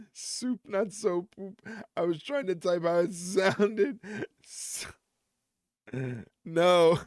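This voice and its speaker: Guy rambling on his stream, kinda high voice, dry sound, jokey. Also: drawn-out words, pauses often, no room sound. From United States. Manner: rambling, jokey, chuckles often, answers chat. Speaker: wrestling stream host. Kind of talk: casual live-stream chat with viewers.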